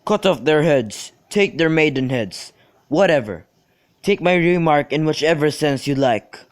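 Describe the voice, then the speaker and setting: A man acting as a boastful young servant in a student radio play. His voice sits fairly high for a male, and he talks crudely, with plenty of swagger. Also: lively intonation, measured pace, no room sound, clean studio sound. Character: Sampson, bragging, crude young male servant itching to brawl.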